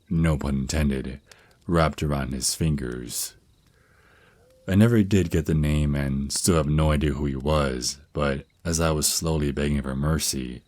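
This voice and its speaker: A man's voice: extremely low pitch, darkish tone, dry recording, exaggerated voice. A man playing a tender, reassuring vampire naga mentor and boyfriend in an ASMR roleplay, speaking soothingly and affectionately.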